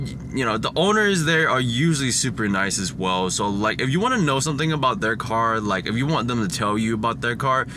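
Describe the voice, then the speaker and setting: A young man vlogging about cars, talking casually and rambling with lots of "like" and "you know", his voice stuffy and nasal from a cold. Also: medium pitch. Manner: rambling, many likes and you-knows. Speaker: car vlogger. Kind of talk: casual vlog talk to camera. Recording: noisy background.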